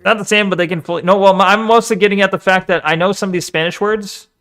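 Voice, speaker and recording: Animated male streamer, slightly high voice. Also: fast, faint music behind. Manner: animated, swears, talks back to the screen. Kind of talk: casual live-stream chat and video reaction.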